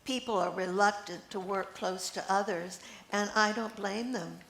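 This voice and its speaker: A woman's voice: mid-range voice, unhurried, creaky, a bit bright. An older woman reading the part of a sociable friend catching up, in an amateur play. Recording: echoey room with faint noise.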